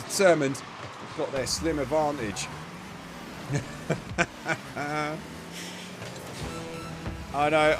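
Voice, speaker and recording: A man giving chatty live play-by-play commentary on a match, his voice starting to go hoarse. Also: a little high. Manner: chatty, self-deprecating asides, bursts of excitement on big plays. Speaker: esports commentator.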